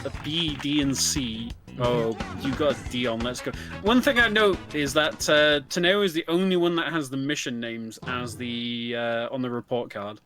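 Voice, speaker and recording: A man's voice: mid-range voice, normal speed, hardly any pauses. A man giving casual, hesitant live commentary on a speedrun. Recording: loud game music behind. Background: video game music and sound.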